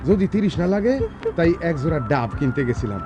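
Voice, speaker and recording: An actor playing an excitable, doting older brother from a village, blustering and rambling in a rural eastern Bengali accent in a radio play. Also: male voice, a little high, quick pace, dull audio. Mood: excited, calling out. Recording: music behind, radio drama production.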